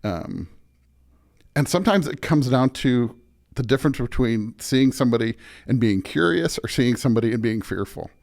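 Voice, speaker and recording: An American man, a pastor, in casual reflective conversation as a podcast guest, halting as he searches for words. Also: mid-range voice, animated, creaky, darkish tone.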